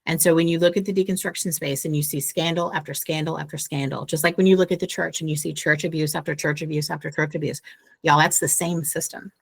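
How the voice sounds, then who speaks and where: A woman author being interviewed, explaining ideas earnestly with lively asides. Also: low voice, fast, creaky, husky, dry recording. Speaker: author and guest. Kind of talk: conversational interview.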